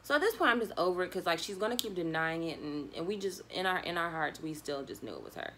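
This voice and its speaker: A young woman with a notably low voice tells a story as if chatting to friends. Her delivery is slangy and animated, slipping into quoted back-and-forth dialogue. There is clear ambient noise behind her. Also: fast talker. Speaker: vlogger telling a personal story. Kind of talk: casual conversational storytelling.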